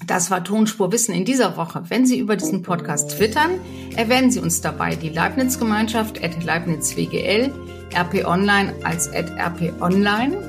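A woman hosting a podcast asks questions in a brisk, conversational way and wraps up the episode. Her voice sits at a middle pitch for a woman. Faint music plays underneath. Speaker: podcast host. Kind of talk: interviewer and show host.

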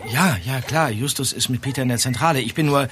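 A man's voice: medium pitch, quick pace, a bit bright, lightly acted. A man playing an eager, friendly young amateur detective in an audio drama, flustered and stammering with surprise. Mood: excited, hurried. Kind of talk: German audio drama acting.

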